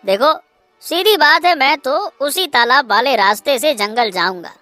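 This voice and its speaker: Lady doing a swaggering rich kid type young guy, cartoon, high voice, slowish. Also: sharp tone, no echo, soft background music. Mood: boastful mockery. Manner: swaggering, scornful. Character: cocky, boastful young merchant's son Ashok. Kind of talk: Hindi kids' cartoon story acting.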